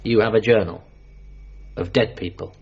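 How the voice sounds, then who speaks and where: A man's voice: on the low side, steady tone, moderate pace, lo-fi sound, a little hiss or hum, lightly acted. An actor playing an anxious, awkward son in a radio comedy drama, stammering and flustered as he talks. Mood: dry. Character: anxious, awkward bereaved son, excitable and sarcastic.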